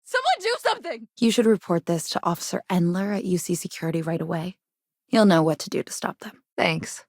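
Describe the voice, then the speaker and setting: An actress voicing a guarded but caring, plain-spoken woman innkeeper from a rough sci-fi district in a video game. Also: on the low side, steady tone, a little fast, a little mellow, no room sound, clean studio sound. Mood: calm, earnest. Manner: plain-spoken, earnest, civic-minded. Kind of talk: game dialogue lines, conversational.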